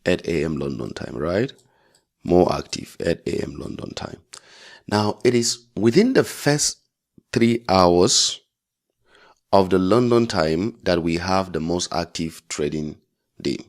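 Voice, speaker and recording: A man's voice: low-pitched, creaky. A man giving an informal trading tutorial as an instructor, speaking bluntly and emphatically, repeating phrases for stress and adding check-in tags. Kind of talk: informal tutorial explaining a chart.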